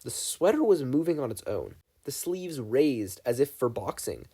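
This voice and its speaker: A man's voice: medium pitch, big pitch swings, moderate pace, warm tone, slightly rough. A man reading a scripted story aloud as a narrator. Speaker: podfic reader.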